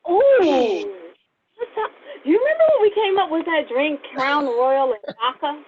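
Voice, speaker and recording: An excitable woman co-hosting a podcast in casual banter, on muffled audio. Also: high-pitched, measured pace, a little hiss or hum. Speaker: podcast co-host.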